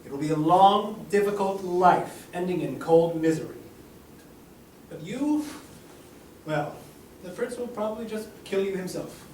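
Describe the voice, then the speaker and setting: A man's voice: high-pitched, unhurried, long pauses, a little mellow, slight background noise. A man voicing a smug, taunting town guard at a table read, in a roomy room with a distant mic. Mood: menacing. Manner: sneering, taunting.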